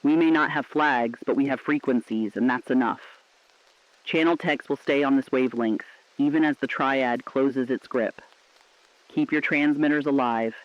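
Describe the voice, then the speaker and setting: A man's voice: mid-range voice, moderate pace, dry recording, close to an everyday voice. A man playing a defiant, sombre resistance radio correspondent, speaking earnestly and poetically in a scripted drama. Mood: quietly defiant. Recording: muffled, lo-fi radio-drama sound.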